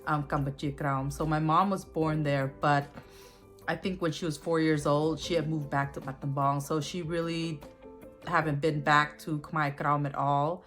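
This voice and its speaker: Woman in her forties, low voice, thoughtful, pauses with um and uh, faint music behind. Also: steady tone, fairly bright tone. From born in a Thai border refugee camp, raised in the U.S. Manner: thoughtful, with many ums and uhs. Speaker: guest sharing family history. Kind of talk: recorded personal testimony.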